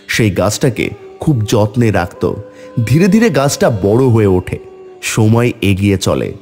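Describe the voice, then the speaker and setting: Animated male storyteller, mid-pitched voice. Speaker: story narrator. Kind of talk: scripted dramatic storytelling narration.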